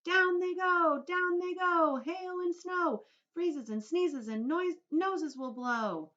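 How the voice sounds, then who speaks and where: A gleeful crowd of storybook cloud men, as a woman reading aloud puts them on, chanting slowly in a very high sing-song voice. The recording is dull and lo-fi. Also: sharp tone, exaggerated voice.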